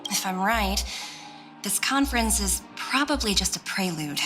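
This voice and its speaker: A woman voicing a shrewd, confident corporate director in a video game, composed and knowing, with quiet authority. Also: mid-range voice, lively intonation, normal speed. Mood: assessing. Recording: faint music behind.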